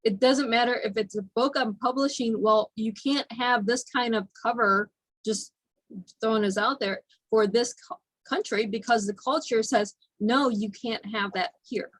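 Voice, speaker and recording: A woman's voice: a little high, unhurried, no echo, low-quality recording. Direct woman host, dry close sound. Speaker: radio show host. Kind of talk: conversational interview.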